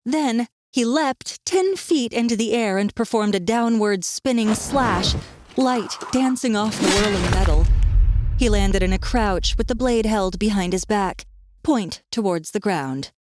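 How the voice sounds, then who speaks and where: A woman's voice: mid-range voice, slight background noise. A woman reading scripted narration of a fantasy story as an audiobook narrator.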